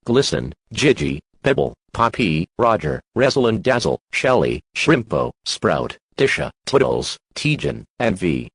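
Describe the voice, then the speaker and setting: A man's voice: fairly low pitch, husky, no room sound, full character voice. A man playing a formal news correspondent, reading a scripted broadcast report. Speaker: news correspondent and alert announcer.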